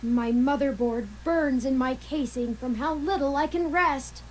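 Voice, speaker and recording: A woman voicing a young robot companion who grieves and seethes with anger, speaking in earnest, precise phrases with halting, pained pauses. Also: high voice, slight background noise, rough recording. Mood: grieving, angry. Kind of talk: video game voice acting.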